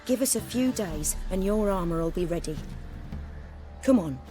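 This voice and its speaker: A self-assured female armorer from a fantasy video game, voiced by an actress. She speaks directly and courteously in a medium-pitched voice. Music plays clearly underneath. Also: lively intonation, moderate pace, natural voice. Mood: warm, confident. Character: self-assured, polite female master armorer, plain-spoken and firm. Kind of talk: video game character acting.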